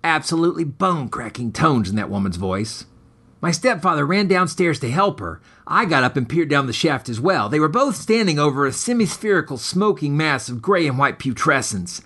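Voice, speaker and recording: A man's voice: fairly high pitch, very animated, moderate pace, fairly bright tone, slightly rough. A man reading scripted material as author and host, with a melodramatic, ornate storytelling manner. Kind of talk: scripted dramatic story narration.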